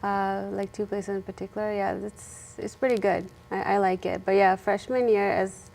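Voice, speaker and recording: A young woman from India speaks as a guest in a casual interview. Her talk is loose and chatty, with frequent "like" fillers and quick restarts. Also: medium pitch, flat delivery, creaky, a little mellow, rough recording. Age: young adult. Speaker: guest, student.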